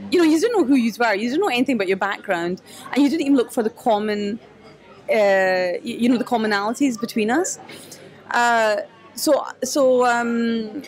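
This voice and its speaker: A woman's voice: fairly high pitch, lively intonation, moderate pace. A woman answering interview questions in a cafe, thoughtful and hesitant, with many restarts. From Scotland. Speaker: guest, photographer and activist.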